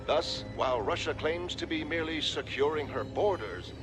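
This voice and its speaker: Actor as formal TV newsman over loud music. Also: fairly high pitch, lightly acted. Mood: formal, serious. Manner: formal, measured, authoritative. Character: earnest, formal male television newsreader. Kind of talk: scripted news bulletin delivery.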